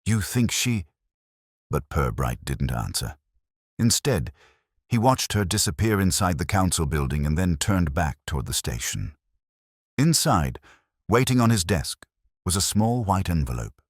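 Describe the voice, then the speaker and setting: A man narrating a mystery story in a measured, scripted storytelling delivery. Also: sits low, sing-song, husky, dry recording, studio quality.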